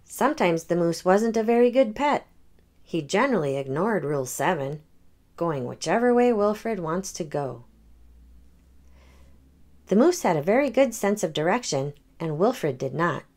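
A woman hosting a children's read-aloud, narrating a picture book in an expressive storytelling manner. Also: fairly low pitch, a little slow.

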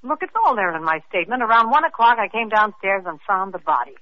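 An actress playing a brash, flippant young wealthy widow being questioned, in 1940s radio acting style. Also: female voice, mid-range voice, expressive, quick pace, lightly acted. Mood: curt. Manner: flippant, sharp-tongued, mocking. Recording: muffled old radio recording.

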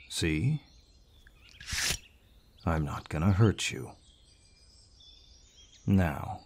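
An actor playing a large, gruff but kindly barbarian warrior in an audio roleplay, measured and reassuring, with a deep voice. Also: male voice, a little mellow, a little hiss or hum, exaggerated voice. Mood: calm, questioning. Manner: measured, reassuring, with pauses and sighs. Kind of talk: scripted audio roleplay, addressing the listener. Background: birdsong forest sound effects.

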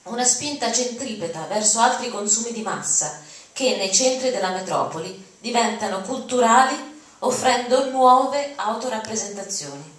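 A woman giving an expressive, dramatic reading of literary prose from a text. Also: fairly high pitch, moderate pace. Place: auditorium podium, recorded from the audience. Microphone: podium mic, picked up by far camera. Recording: very echoey hall, distant camera mic.

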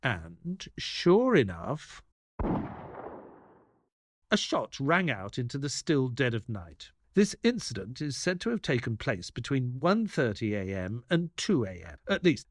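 A man playing a detective's loyal assistant, narrating an audio drama in a measured storytelling way. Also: medium pitch, no room sound, clean studio sound.

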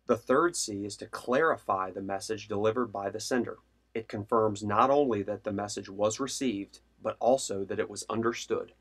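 Low-voiced male training narrator. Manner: formal, measured delivery. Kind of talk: scripted instructional narration.

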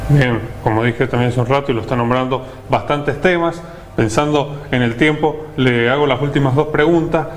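A man, asking wordy, halting questions as a TV interviewer. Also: medium pitch. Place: TV studio, standing.

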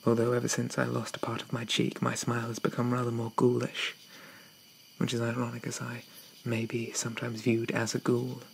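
A man playing a shy, gentle, polite Victorian zombie in a soft-spoken ASMR roleplay, stammering and hesitant with old-fashioned courtesy. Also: medium pitch, monotone, a little fast, vocal fry, a little hiss or hum, lightly acted, poor audio. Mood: wistful, resigned.

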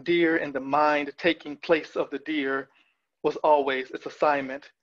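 A man in his thirties reading poetry with deliberate, measured phrasing over a video call. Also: fairly high pitch, steady tone, fairly bright tone. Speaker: poet. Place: small room at home with bookshelf. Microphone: video call, wearing headphones. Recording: dull, lo-fi video call audio.

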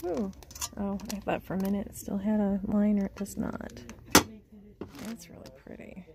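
Chatty woman vlogger with a gravelly voice. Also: medium pitch, monotone, moderate pace, heavy background noise. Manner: chatty, murmurs prices half to herself, drops to whispers. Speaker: vintage reseller vlogger. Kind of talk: casual shop-with-me narration. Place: antique mall, then parked car. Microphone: phone camera at arm's length.

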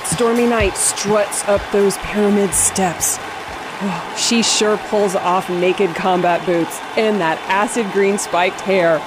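A woman playing an excited co-commentator giving mock live event commentary in a radio comedy. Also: mid-range voice, natural voice, low-quality recording. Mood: gushing. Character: excited female broadcast co-commentator at concert. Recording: clear rushing ambient noise.

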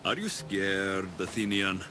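A man's voice: low voice, takes it slowly, noisy background. A man playing a confident, caring young Greek mercenary in a video game, assured and reassuring. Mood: teasing. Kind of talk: video game cutscene acting.

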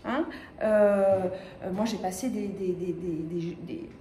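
A woman in her fifties from the Paris region, giving a lively conference talk with many fillers and restarts, gesturing as she speaks. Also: medium pitch, slow pace, slight background noise. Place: small lecture room with projector screen. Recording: echoey room.

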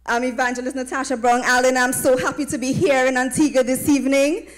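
A woman evangelist with a Trinidadian accent and a high-pitched voice addresses a church gathering. She speaks into a handheld microphone and keeps calling on listeners to answer back as she preaches. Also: expressive, normal speed, faint music behind. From Trinidad and Tobago. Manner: call-and-response, asking listeners to affirm. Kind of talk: preaching, welcoming a congregation. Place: tented church platform with draped backdrop.

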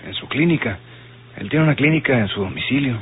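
An actor playing an argumentative, doubtful outlaw sidekick in a radio drama, husky, with a northern Mexican accent. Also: male voice, medium pitch, animated, brisk, slight background noise, close to an everyday voice. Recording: tinny, narrow old radio recording.

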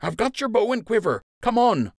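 A loyal young rabbit from a storybook, performed by a man, teasing and urging his friend along. The pitch sits well above a man's usual talk and moves about in a lively, insistent way. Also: normal speed, vocal fry, no room sound, studio quality, full character voice. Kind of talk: audiobook character acting.

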